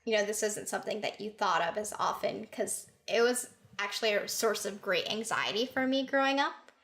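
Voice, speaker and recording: A woman's voice: medium pitch, animated, moderate pace, a bit bright. A woman from Sudan, co-hosting a podcast, talking earnestly in casual chat. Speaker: podcast co-host.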